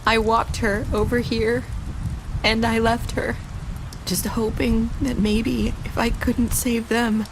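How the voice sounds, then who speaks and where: An actress playing a weary, sorrowful young woman in a video game drama, speaking in a halting, earnest, quietly intense way. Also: on the high side. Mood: sorrowful, bitter. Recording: steady rain noise behind the voice.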